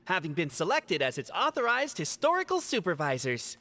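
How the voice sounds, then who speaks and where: An actor playing a ceremonious, wry bard-like clan historian in a fantasy game, heard in an echoey hall. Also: male voice, sits high, huge pitch swings, a little fast, pushed and bright, full character voice. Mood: solemn, lofty. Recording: echoey hall reverb with music.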